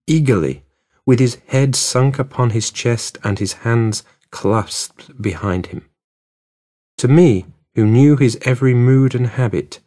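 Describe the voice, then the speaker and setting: A man reading literary narration for an audiobook in a measured storytelling manner. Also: medium pitch, darkish tone.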